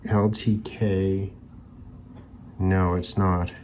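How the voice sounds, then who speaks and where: A man hosting an electronics teardown video, talking casually and unscripted, haltingly thinking aloud as he examines parts. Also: low-pitched, level tone, a little mellow, a little hiss or hum. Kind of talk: casual unscripted hands-on demonstration talk.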